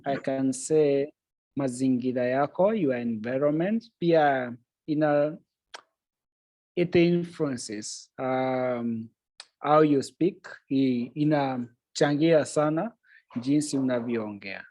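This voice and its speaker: A man's voice: mid-range voice, darkish tone, dry recording, rough recording. Guy teaching swahili on a call, patient, says everything twice in english, dry close sound. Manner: patient, explains slowly and laughs easily. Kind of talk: bilingual teaching, repeating each point in English.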